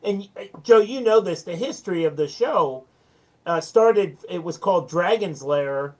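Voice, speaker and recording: A man's voice: high-pitched, lively intonation, measured pace, a little mellow. Chatty American man telling business anecdotes. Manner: chatty, anecdotal, self-deprecating. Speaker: interview guest, small business owner. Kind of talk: casual interview storytelling.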